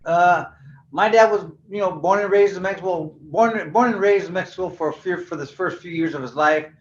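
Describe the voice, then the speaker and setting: Brief: older man, fairly high voice, casual rambling storyteller, roomy echo, dull lo-fi sound. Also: fairly quick, slight background noise. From California. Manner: laid-back, rambling, heavy "you know" fillers. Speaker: guest, former professional boxer.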